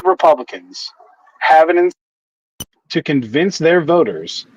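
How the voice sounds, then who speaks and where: A man's voice: fairly high pitch, big pitch swings, measured pace, highs cut off, slight background noise. A man in his thirties calling in, arguing politics with pointed rhetorical questions. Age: 30s. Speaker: caller. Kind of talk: political argument on a call-in.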